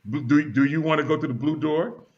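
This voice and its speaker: A man's voice: on the high side, a little fast. A man hosting a casual live talk show, easygoing and chatty, reading out viewer comments and often stumbling with "uh" and repeated words. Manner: easygoing, repeats himself and fills with "uh". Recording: dull lo-fi audio.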